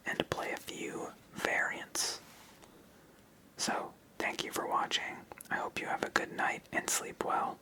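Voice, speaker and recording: A host whispering ASMR commentary while playing a solo card game, explaining each move step by step in an unvoiced whisper. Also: poor audio. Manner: slow, step-by-step narration of moves.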